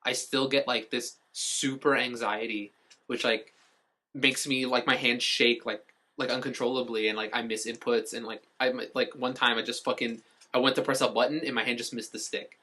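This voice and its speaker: A young man with a bright, forward voice of average pitch talks casually as a podcast co-host. He explains himself in halting bursts, restarting and repeating words. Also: flat delivery. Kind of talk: casual chat.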